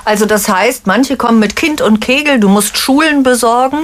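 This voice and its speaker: A woman's voice: on the high side, moderate pace, slight background noise. A woman hosts an informal interview. She probes her guest with curious follow-up questions.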